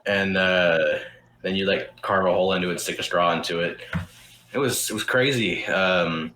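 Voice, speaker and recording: Young man, low bright voice, rambling storyteller, swears casually, echoey room. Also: moderate pace, a little hiss or hum. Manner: rambling, many restarts and fillers, casual swearing. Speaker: podcast host.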